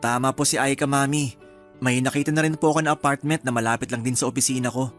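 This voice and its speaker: A male actor as a young fiancé in a Filipino radio drama, talking very quickly in a mid-range voice. He is sweet, eager and affectionate, with music playing underneath. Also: medium pitch, fairly even intonation, natural voice. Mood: agreeable, coaxing.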